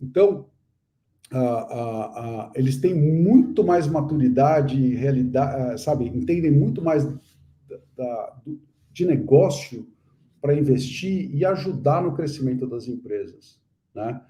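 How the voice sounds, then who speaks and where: Dark-voiced middle-aged Brazilian man. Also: mid-range voice, expressive, unhurried. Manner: earnest, many fillers and restarts. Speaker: guest investor. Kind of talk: casual live interview chat.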